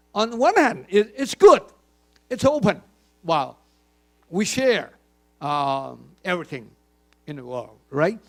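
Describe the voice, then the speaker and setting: Emphatic older man giving a keynote. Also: sits high. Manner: emphatic, questioning the audience, many repeated words. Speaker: keynote speaker. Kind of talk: conference keynote speech. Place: conference hall.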